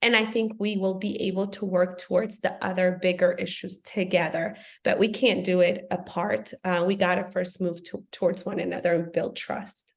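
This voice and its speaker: A woman's voice: lowish voice, steady tone, normal speed, vocal fry, few pauses. Earnest woman from Ethiopia, thoughtful. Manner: thoughtful, earnest, with frequent fillers. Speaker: guest. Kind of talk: podcast conversation.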